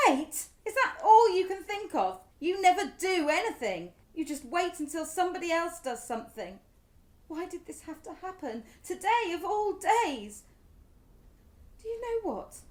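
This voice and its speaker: A woman with a British accent playing a resentful, highly strung middle-aged wife in a radio play, accusing and sarcastic. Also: sing-song, a little slow, exaggerated voice. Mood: furious, accusing.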